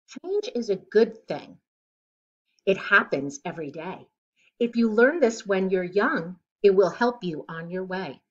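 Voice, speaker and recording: Woman, middle-aged, lowish voice, expressive teacher-style read-aloud of children's verse, muffled home recording. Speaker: teacher reading a picture book aloud. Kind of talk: read-aloud storytelling of rhyming children's book. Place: living room at home, indoors. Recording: dull lo-fi webcam sound.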